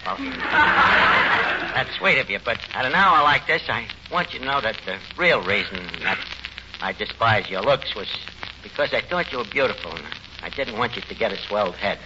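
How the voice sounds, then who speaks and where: An actor playing a melodramatic, self-important, uneducated tavern manager with a New York accent, mangling lofty phrases in 1940s radio comedy style. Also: male voice, medium pitch, very animated, moderate pace, lightly acted. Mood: sheepish farewell. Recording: noisy, muffled 1940s radio recording.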